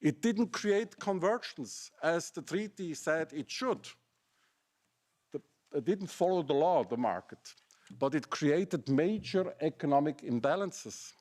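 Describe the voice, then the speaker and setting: A man's voice: on the high side, lively intonation, a little slow, a little mellow. German-accented man giving an argumentative seminar talk. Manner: argumentative, asks rhetorical questions. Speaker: academic speaker. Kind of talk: seminar presentation.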